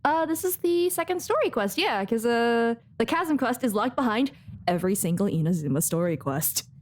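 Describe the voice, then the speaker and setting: A woman's voice: a little high, expressive, normal speed, a little mellow. A woman giving casual live gameplay commentary, exclaiming and muttering to herself as she reacts. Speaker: game streamer. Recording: game music behind the voice.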